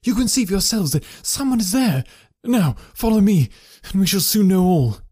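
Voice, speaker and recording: A man voicing an agitated, anxious Victorian husband who speaks urgently and forcefully in a raised voice. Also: sits high, big pitch swings, moderate pace, husky, no room sound, clean studio sound, pitched above the performer's own voice, exaggerated voice.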